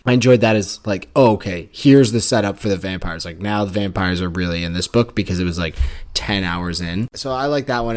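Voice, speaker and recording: A man co-hosting a casual book club podcast, laid-back and jokey, slipping into folksy voices now and then. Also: low-pitched. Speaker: podcast co-host.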